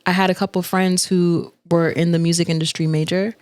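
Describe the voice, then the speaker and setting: Woman, twenties, New York accent, relaxed storyteller, lowish voice, dry room. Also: a little fast, no room sound. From Jamaica. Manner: easygoing, laughing, chatty storyteller. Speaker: singer and guest. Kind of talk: casual interview chat.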